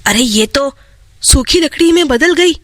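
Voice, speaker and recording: A storyteller acting out a bright-voiced, greedy schemer. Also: high voice, animated, measured pace, no echo. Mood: alarmed. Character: greedy, impatient young man Sohan, scheming and scornful.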